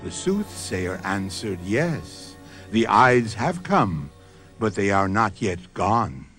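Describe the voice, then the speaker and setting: A male host of a radio mystery series giving solemn, measured scripted narration. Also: on the low side, big pitch swings, fairly bright tone, faint music behind.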